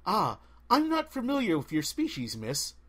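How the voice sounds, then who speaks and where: Man playing a higher-pitched, polite young woman. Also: wildly swooping pitch, normal speed, fairly bright tone, full character voice. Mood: politely earnest. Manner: precise, courteous, reasoning. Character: polite, earnest, formal young woman adventurer.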